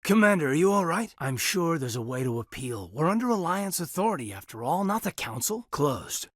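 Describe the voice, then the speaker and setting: A man voicing an earnest, loyal military officer in a sci-fi video game, sincere and restrained, with a husky voice. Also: on the high side, very animated, a little fast, no echo, studio quality. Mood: wry, concerned. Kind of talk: naturalistic dramatic game dialogue.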